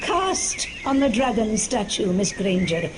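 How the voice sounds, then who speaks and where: A woman's voice: mid-range voice, very animated, a little slow, background noise. A woman playing a formal, precise teacher at a magic school, giving instructions in a video game. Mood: formal, instructive. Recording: loud music under the voice.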